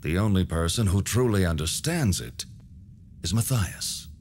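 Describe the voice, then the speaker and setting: An actor playing an old alchemist hermit in a video game, courteous and knowing, with measured, formal, cryptic delivery. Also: male voice, deep voice, animated, darkish tone, a little hiss or hum. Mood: instructive. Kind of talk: video game cutscene voice acting.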